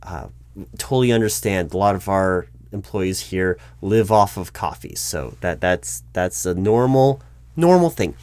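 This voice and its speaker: A man's voice: sits low, lively intonation, unhurried, a little mellow, clean tone, no echo. A man speaking aloud in a one-sided job interview roleplay as a polite, officious recruiting manager, in a formal and wordy corporate way.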